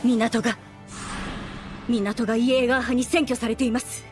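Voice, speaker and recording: A woman voicing a calm, shrewd young female soldier in an anime, speaking in a polite, composed, analytical way. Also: fairly high pitch, normal speed, close to an everyday voice. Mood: tense reporting. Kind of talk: Japanese anime voice acting. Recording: music under the dialogue.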